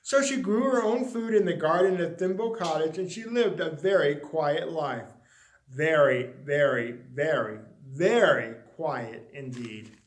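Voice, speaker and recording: A man reading a children's story expressively, with dramatic, drawn-out emphasis on words. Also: fairly high pitch, expressive, roomy sound. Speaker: story reader.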